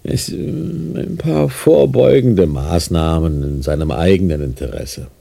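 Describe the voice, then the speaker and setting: A scheming clinic doctor speaks low and darkly. The voice is husky and creaky, with condescending swings of intonation. It is radio drama. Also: male voice, animated, measured pace, mellow, full character voice. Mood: condescending, evasive. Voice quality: gravelly, husky. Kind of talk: German radio play acting.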